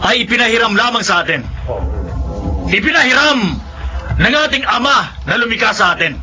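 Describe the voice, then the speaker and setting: Filipino faith healer, very high voice, solemn slow oratory, commanding, radio drama, music behind. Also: male voice, lively intonation, exaggerated voice. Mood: fervent, commanding. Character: fervent male faith healer preaching to followers. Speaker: preacher. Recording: dull sound under loud music.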